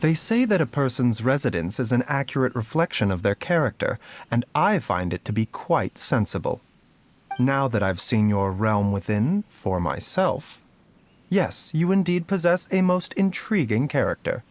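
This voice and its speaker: An actor playing a gentle, scholarly doctor in a video game, speaking in a polite, measured and softly formal manner. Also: male voice, mid-range voice, sing-song, natural voice. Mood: courteous, composed. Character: gentle, courteous adult male doctor, calm and scholarly. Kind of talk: scripted game voice lines. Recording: music behind the voice.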